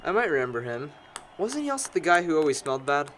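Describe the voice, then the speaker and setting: A man's voice: on the high side, big pitch swings, fast, a bit bright, a little hiss or hum. A man playing a sarcastic, needling young man among longtime friends in an amateur audio drama. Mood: reminiscing.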